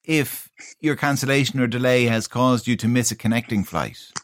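A man's voice: mid-range voice, normal speed. An Irish man presenting on radio, putting conversational questions to a guest in a news interview.

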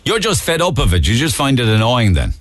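A man with a Cork Irish accent hosting a radio phone-in, chatty and quick to jump in with questions. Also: medium pitch, huge pitch swings, fairly bright tone, no echo. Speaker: radio talk show host. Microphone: studio microphone.

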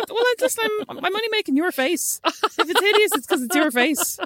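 A woman co-hosting a casual chat with her sister, telling stories quickly and teasing. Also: extremely high pitch, animated, a bit bright, dry recording, clean studio sound. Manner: teasing, rapid storytelling. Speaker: podcast co-host.

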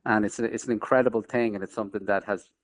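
Earnest Dublin man, lower voice. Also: fairly even intonation, racing. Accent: Dublin Irish. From Dublin, Ireland. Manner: earnest, searching for words, many restarts. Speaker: filmmaker being interviewed. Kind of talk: reflective interview talk.

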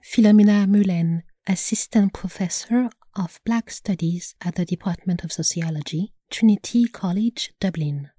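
A woman narrator reads a scripted documentary introduction. Her voice is soft-toned and mellow at a middle pitch, recorded very dry with no room sound. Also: moderate pace, hardly any pauses, husky, no echo.

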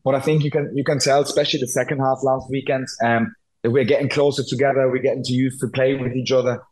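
Flat-pitched young German man, slight Scouse tinge. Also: rough recording. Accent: German with a touch of Scouse. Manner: halting, repeats words. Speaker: football goalkeeper being interviewed. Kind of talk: casual interview.

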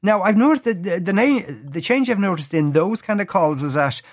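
A man's voice: high-pitched, expressive, moderate pace, no echo, studio quality. A man with an Irish accent hosting a radio show, interviewing conversationally and feeling his way into questions.

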